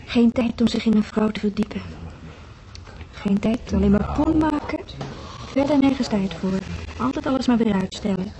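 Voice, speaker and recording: An actress playing an earnest, frustrated wife at a hospital bedside, pleading intensely in a naturalistic radio drama. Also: female voice, fairly high pitch, moderate pace, long pauses, mellow, a little hiss or hum, lightly acted, poor audio.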